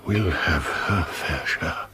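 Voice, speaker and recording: An older man acting in a radio drama as a guilty, greedy, fearful dying father, speaking weakly and haltingly with laboured breath. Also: low-pitched, sharp tone, breathy edge, full character voice. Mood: weak, urgent. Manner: slow, halting, laboured delivery.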